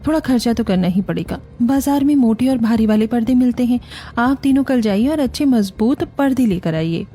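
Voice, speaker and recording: Patient, mellow Indian daughter-in-law, voiced by a woman. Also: a little high, lively intonation, fairly quick. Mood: confident, practical. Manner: soothing, reassuring, practical. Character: patient, peacemaking eldest daughter-in-law of a village family.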